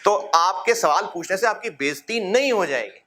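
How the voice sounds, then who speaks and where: A middle-aged man lecturing a classroom of accounting students in a fatherly, encouraging way, with rhetorical questions and gentle humor. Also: high-pitched, lively intonation, normal speed, a little hiss or hum.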